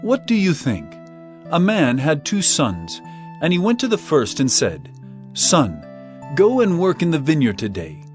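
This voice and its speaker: An actor playing an authoritative, earnest male religious teacher in an audio drama, speaking in emphatic, measured phrases. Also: a little high, huge pitch swings, soft background music.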